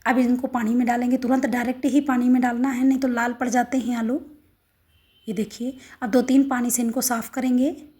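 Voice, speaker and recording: Hoarse-throated woman cooking at home, talks you through each step, voice slightly high. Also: warm tone. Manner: homely, step-by-step instructions. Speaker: cooking channel host.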